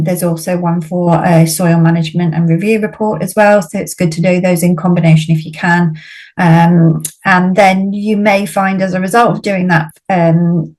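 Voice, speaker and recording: A woman gives a webinar talk over a video call. Her voice is lowish and flat. She pauses often and fills the gaps with ums. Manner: halting, many ums. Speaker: webinar speaker. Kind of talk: presenting in a webinar.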